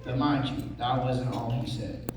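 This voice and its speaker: A man's voice: medium pitch, fairly even intonation, a little slow, close to an everyday voice. Man as wry narrator in very echoey hall. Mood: wry narration. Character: wry formal narrator of a Western tall tale. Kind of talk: scripted stage narration. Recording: very echoey hall, far mic, noisy.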